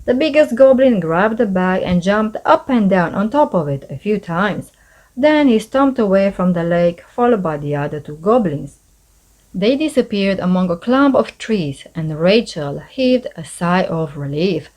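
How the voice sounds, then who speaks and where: A woman reading a children's storybook aloud as scripted narration, in an expressive storyteller's manner. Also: medium pitch, measured pace, poor audio. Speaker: storybook narrator, channel host.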